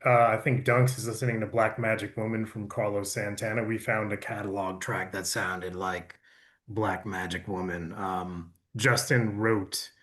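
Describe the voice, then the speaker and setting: Male producer, fairly low voice, explanatory and matter-of-fact panel delivery. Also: steady tone, unhurried, fairly bright tone. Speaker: audiobook producer.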